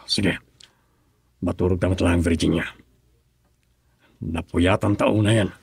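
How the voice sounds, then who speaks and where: An actor with a Filipino accent playing a composed, authoritative husband in a radio drama, in a measured manner. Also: male voice, sits low, steady tone, gravelly, darkish tone, heavily acted. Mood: composed, pleased. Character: composed, authoritative husband involved in fighting crime.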